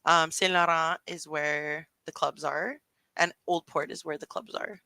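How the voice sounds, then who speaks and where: A woman from Montreal with an Italian-sounding Montreal English accent, co-hosting a book club chat with animated, giggly storytelling. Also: low voice, unhurried, creaky, no echo. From Montreal, Canada. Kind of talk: casual chat.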